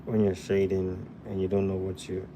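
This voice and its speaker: A man's voice: low voice, flat delivery, moderate pace, muffled recording, background noise. A young man from Ghana hosting a live drawing tutorial, chatting casually with viewers while he sketches at a desk indoors. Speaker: drawing livestream host.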